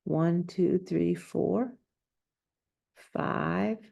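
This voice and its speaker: A woman's voice: lowish voice, fairly even intonation, very slow, creaky, dark tone. A woman reading a children's storybook aloud, adding casual, simple explanations and questions for young listeners. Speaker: storybook reader.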